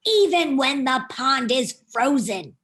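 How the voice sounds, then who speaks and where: A proud, prim lady duck from a storybook, acted out by a woman reading aloud. The voice is high and swings widely in pitch. Everything comes out emphatic and a little huffy, as befits a creature fond of routine. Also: sing-song, slow, fairly bright tone, exaggerated voice. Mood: indignant, huffy. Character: prim, indignant, proud female duck who loves routine.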